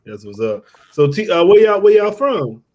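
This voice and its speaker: A man's voice: sits high, sing-song, normal speed, a little mellow. A man hosting a live-streamed podcast, upbeat and running through promotional patter. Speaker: podcast host.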